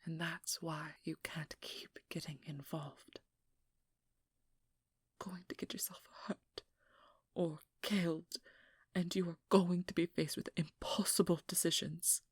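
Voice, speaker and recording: A woman playing a determined, guarded and protective vampire hunter in an intimate ASMR role-play, hushed and often whispering, with halting, earnest delivery. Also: fairly low pitch, fairly even intonation, slightly rough, dry recording, studio quality, full character voice. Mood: grave, warning. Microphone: close microphone in a dry room.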